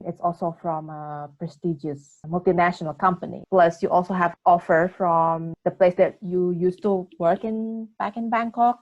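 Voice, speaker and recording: A woman interviewing a guest, asking measured questions. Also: lowish voice. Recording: dull lo-fi audio.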